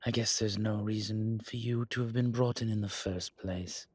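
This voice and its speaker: Audio drama role: wry centuries-old male vampire acted by a man, husky and unhurried, self-assured, close dry recording. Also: fairly low pitch, steady tone, a little mellow, dry recording, natural voice. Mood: sardonic.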